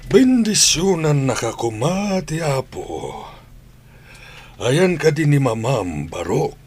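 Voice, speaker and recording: A man's voice: a little high, big pitch swings, takes it slowly, darkish tone, husky, full character voice. A man playing a stern, concerned old grandfather in a radio drama, with an Ilocano accent and an admonishing way of talking. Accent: Ilocano Filipino. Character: stern, concerned old grandfather scolding his gambling daughter.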